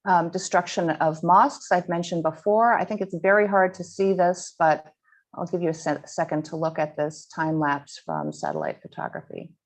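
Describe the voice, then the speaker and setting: A woman giving a slide presentation to a school audience, earnest and halting, with frequent ums and restarts. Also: fairly low pitch, lively intonation. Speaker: guest speaker presenting slides.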